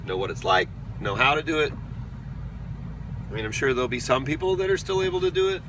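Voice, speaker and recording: A hoarse man rambling chattily through his day as a vlogger, quick to laugh. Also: mid-range voice, expressive, background noise. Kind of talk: casual daily vlog chat.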